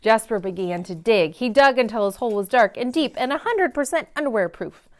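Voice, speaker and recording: A woman's voice: a little high, lively intonation, brisk. A woman hosting a story time in a library, reading a picture book aloud to children with dramatic emphasis on key words. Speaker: read-aloud host in a library.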